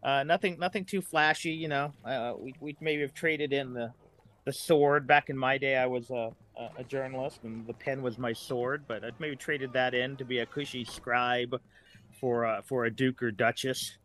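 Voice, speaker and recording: A man speaking as a podcast guest in casual, playful, improvised chat, often halting as he searches for words. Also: mid-range voice, fairly even intonation, a bit bright.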